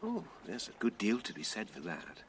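A blunt skeptical victorian writer, dry formal talk, lowish but very expressive, faintly noisy radio play. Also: male voice, moderate pace, heavily acted. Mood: dry, wry. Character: frank, sceptical, poor Victorian man of letters. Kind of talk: BBC radio drama acting.

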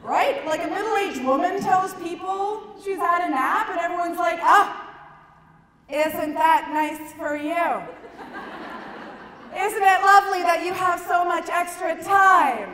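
A middle-aged woman giving a stand-up comedy talk to an audience, emphatic and conversational, with dramatic pauses. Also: very high voice, fairly bright tone, a faint crowd. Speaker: comedian, keynote speaker. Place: large conference ballroom stage. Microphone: handheld microphone. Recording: echoey hall PA, some noise.

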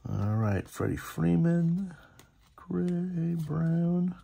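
Gravelly-voiced man chatting through a hobby video. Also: on the high side, lively intonation, drawn-out words, dark tone. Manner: chatty, appreciative, reading names aloud. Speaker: host opening sports card packs. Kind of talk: casual running commentary. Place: indoor room at a tabletop.

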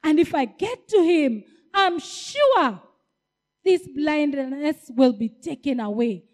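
A woman voicing a blind male beggar who is eager and desperate, pleading and calling out loudly. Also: high-pitched, animated, takes it slowly, big-room sound.

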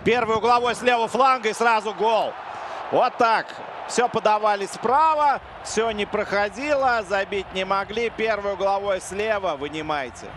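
A man doing play-by-play commentary on a football match, excitable and quick to raise his voice on chances. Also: high voice. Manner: excitable play-by-play, shouting on goals. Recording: crowd noise behind. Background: stadium crowd.

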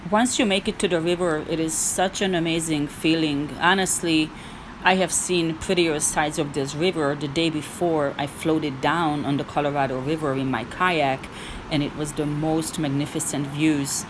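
Lower-pitched woman with an encouraging vlog voice-over. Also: fairly even intonation, normal speed, noisy background. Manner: earnest, encouraging, with restarts. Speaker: hiking vlogger. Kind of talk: casual voice-over vlog narration.

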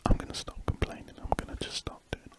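Breathy whispering British man. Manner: slow, hesitant, trailing musings. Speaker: host of a drawing ASMR channel. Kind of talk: ASMR, unscripted rambling while drawing. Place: indoors at a desk.

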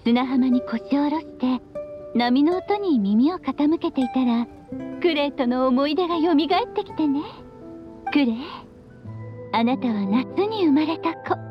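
A woman's voice: high voice, expressive, measured pace, dull audio. A woman playing a playful, loving, long-lived witch mother, speaking tenderly to her child like a storyteller in an anime game. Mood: tender, nostalgic. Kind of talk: Japanese anime game voice acting. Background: background music.

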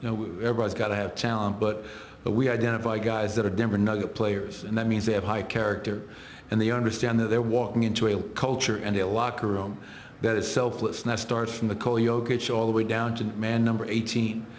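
A middle-aged man speaks as a head coach at a post-game press conference. His voice sits low and barely moves in pitch as he works through numbers in short bursts. The table microphones pick him up in a roomy, echoing press room with a faint hum behind. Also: little pitch movement. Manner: measured, reels off stats in clipped phrases.

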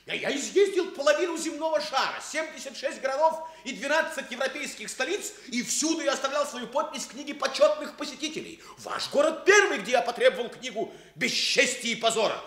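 An actor playing a haughty, imperious psychiatrist in a Soviet radio play, with a pompous, commanding manner. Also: male voice, extremely high pitch, sing-song, fairly quick, hardly any pauses, a bit bright, exaggerated voice. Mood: scornful, outraged. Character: haughty, imperious male visiting psychiatrist, grandly indignant.

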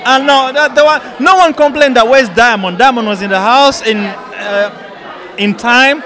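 A man's voice: very high-pitched, a little slow. A young man speaking casually as an interviewed event guest, into a handheld microphone. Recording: loud event crowd behind.

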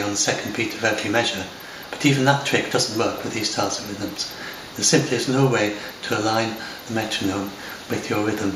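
An older man with an English accent, talking to camera at home in a hesitant, rambling way, full of fillers and restarts. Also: on the low side. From lives in Scotland. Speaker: software developer talking to camera. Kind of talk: unscripted talk to camera. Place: home room by large windows. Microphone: far camera. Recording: roomy, distant, noisy home recording.

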